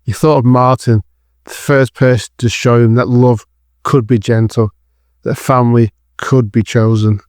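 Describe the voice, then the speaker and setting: A male narrator with a mid-pitched voice reads a scripted dramatic thriller. The sound is dry and close, with no room around the voice. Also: steady tone, unhurried, darkish tone, clean tone, no echo.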